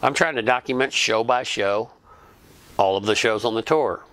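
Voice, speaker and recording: A man telling his own trip story in a relaxed, chatty way, with small restarts. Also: mid-range voice, moderate pace, dry recording. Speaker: YouTube vlogger and fan interviewer. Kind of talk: casual recounting narration.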